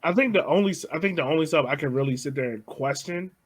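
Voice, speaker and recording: A man's voice: fairly high pitch, fast, husky. A man co-hosting a podcast, chatting casually about soccer substitutions and often saying "like.". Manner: casual, uses "like" a lot. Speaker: podcast co-host.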